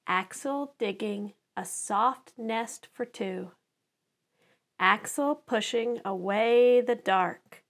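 Lady reading a kids picture book out loud, really slow n deliberate, voice a bit high, expressive storyteller style. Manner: animated, deliberate storytelling with dramatized sound words. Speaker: children's author reading own book aloud. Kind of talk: expressive picture-book read-aloud for preschoolers.

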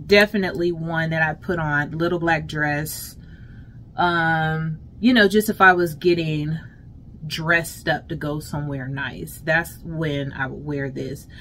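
A middle-aged American woman chatting casually and emphatically to her viewers as a lifestyle vlogger, in an unhurried way. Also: low-pitched, a bit bright. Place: closet at home. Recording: clear background noise, home vlog.

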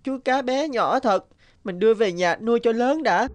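Woman as a high-voiced kind little orphan boy. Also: animated, normal speed, close to an everyday voice. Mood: sad. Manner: polite, earnest.

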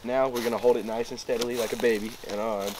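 A man's voice: mid-range voice, little pitch movement, quick pace. A young man giving a casual how-to explanation as a student, recorded by a camera in the woods. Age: young adult. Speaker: student demonstrating a homemade project. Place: outdoors in woods. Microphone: camera a few metres away. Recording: faint outdoor noise, camera mic.

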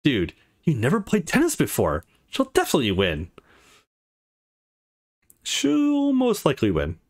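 A man's voice: a little high, wildly swooping pitch, a little slow, pitched above the performer's own voice, full character voice. A man voicing a blunt, caring young woman who chides her friend worriedly in a visual novel. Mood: doubtful. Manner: chiding.

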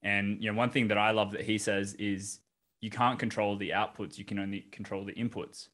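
A young Australian man hosting a podcast over a video call, talking in a relaxed, encouraging way. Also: fairly low pitch, brisk. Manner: relaxed, encouraging, "you know" fillers. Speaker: podcast host. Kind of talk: casual video-call interview. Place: home office, indoors.

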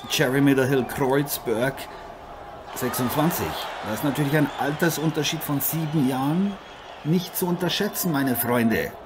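A man's voice: medium pitch, normal speed, natural voice. A man playing a chummy, slightly grandiose celebrity co-commentator in an improvised boxing audio drama. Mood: friendly, engaged. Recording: noisy arena ambience behind voice.

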